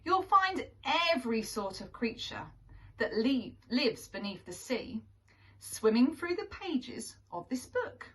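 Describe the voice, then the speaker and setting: A woman reads a children's picture book aloud like a primary school teacher, with lively swings in pitch. She takes her time, pausing deliberately for young listeners. She sounds far from the microphone. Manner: animated, careful storytelling with deliberate pauses. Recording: distant microphone.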